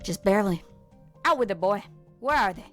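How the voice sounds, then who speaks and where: A woman's voice: mid-range voice, expressive, a little fast, a bit bright, lightly acted. A woman voicing a sly, teasing, threatening law officer in a game drama fan dub. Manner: taunting, assertive. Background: background music.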